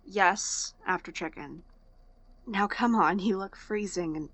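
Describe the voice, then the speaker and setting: A woman's voice: mid-range voice, slow, fairly bright tone. A woman acting in an audio drama as a sardonic young woman, blunt and teasing but fond underneath. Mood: brusque, evasive. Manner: dry, blunt, teasing sarcasm. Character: sardonic young woman, hurt but fondly teasing older-sister type.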